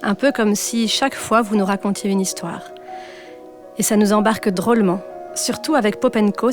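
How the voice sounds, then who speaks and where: A woman co-hosting a podcast, reading an admiring prepared portrait of her guest. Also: medium pitch. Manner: admiring, reads a prepared text. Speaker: podcast co-host.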